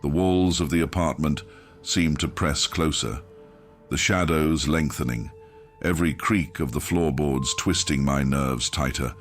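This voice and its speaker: A man reading a scripted first-person horror story in a grim, measured, dramatic manner, as an audiobook narrator. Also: extremely low pitch, faint music behind.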